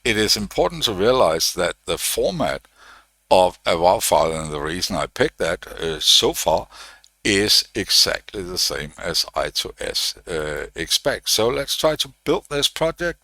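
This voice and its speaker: Guy walking through his microcontroller code, lowish bright voice, lots of uh and restarts, dry close mic. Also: big pitch swings, a little slow, no echo. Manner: thinking aloud, frequent uh and restarts. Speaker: electronics tutorial host. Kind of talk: casual technical walkthrough. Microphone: desk microphone, switched on and off.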